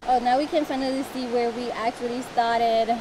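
A fairly high-voiced woman in her thirties, out of breath as she talks to a handheld camera on a forest stairway. Her chatty travel-vlog patter keeps breaking into sighs and puffs. A clear rush of waterfall noise runs behind her outdoor recording. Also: steady tone. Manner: breathless, chatty, sighing between lines. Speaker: travel vlogger. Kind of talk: casual vlog talk to camera. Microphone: handheld camera at arm's length. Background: rushing waterfall noise.

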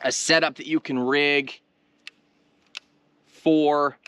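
A man's voice: on the high side, flat delivery, slow, pushed and bright, clear, resonant tone. A man hosting an instructional video, explaining gear and technique in an informal, conversational way, speaking straight to viewers. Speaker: host of a fishing tutorial channel. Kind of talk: casual instructional demonstration talk.

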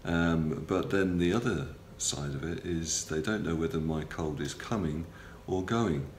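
Very deep gravelly man in a hospital room. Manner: halting, many ums and uhs, matter-of-fact. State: has a cold, stuffy. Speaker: patient video blogger. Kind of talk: casual video diary. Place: small hospital room, indoors. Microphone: phone or camera close up, front-facing. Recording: roomy with faint background hum.

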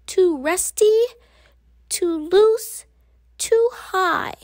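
A woman's voice: very high voice, extremely slow, a little mellow, no echo, pitched above the performer's own voice, heavily acted. A woman voicing a small, fretful mouse girl who worries and questions everything in a children's storybook. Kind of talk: children's storybook read-aloud.

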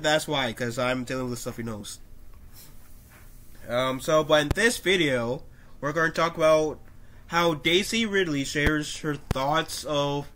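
Bright-voiced young man with a stuffy nose. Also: on the high side. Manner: animated, gestures with his hands, frequent coughs and restarts. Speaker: YouTuber. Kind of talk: casual commentary reading an article. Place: small room at home, indoors.

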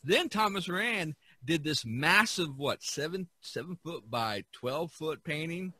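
A man's voice: fairly high pitch, a little slow, slightly rough, no room sound. A man hosting a casual podcast discussion, telling stories with enthusiasm. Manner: enthusiastic, animated storytelling. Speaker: podcast host. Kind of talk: casual art history discussion.

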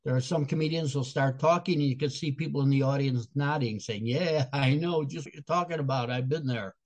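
A man's voice: medium pitch, a little fast. An older man from Chicago with a Chicago accent, answering interview questions in an earnest, explanatory way, sometimes restarting his phrases. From Chicago, USA. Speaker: guest speaker and comedian.

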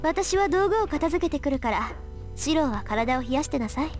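A woman's voice: sits high, expressive, very fast, darkish tone, faint music behind. Bossy, curt teenage girl. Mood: brisk, bossy. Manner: curt, commanding. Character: bossy, brisk, self-assured teenage girl.

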